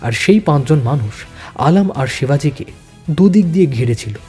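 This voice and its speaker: A man giving a dramatic scripted narration of a suspense story, with deliberate phrasing. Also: a little high, expressive, darkish tone, breathy edge. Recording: long reverb, background music.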